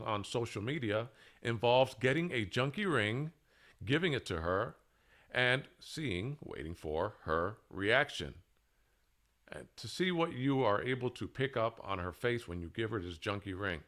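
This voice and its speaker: A man presenting a scripted monologue in a deliberate, halting way, with emphatic pauses between short phrases. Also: medium pitch, lively intonation, fairly bright tone. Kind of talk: scripted monologue, public speaking.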